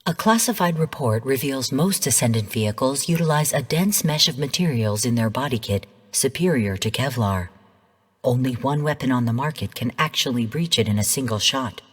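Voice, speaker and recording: An actress playing a composed, analytical female artificial intelligence who gives formal, measured mission briefings in a video game. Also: extremely low pitch, lively intonation, breathy edge, heavily acted. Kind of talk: scripted game narration. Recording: long hall reverb on voice.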